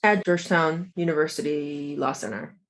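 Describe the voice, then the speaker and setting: A woman's voice: low voice, normal speed, low-quality recording. A woman in her thirties who grew up in South Korea, a lawyer and health coach answering interview questions in a reflective, chatty way. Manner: reflective, chatty, lots of "like" and restarts.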